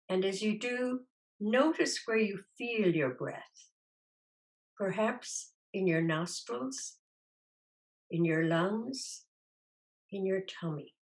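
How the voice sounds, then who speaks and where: Slow-speaking woman guiding a meditation. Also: medium pitch, animated, rough recording. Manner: soothing, unhurried, gently instructing with long pauses. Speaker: meditation teacher. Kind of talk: guided meditation.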